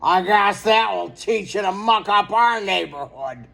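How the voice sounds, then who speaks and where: A man voicing an indignant, gruff neighbor in a children's storybook, emphatic and roaring. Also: high-pitched, animated, unhurried, pushed and bright, full character voice. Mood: scolding.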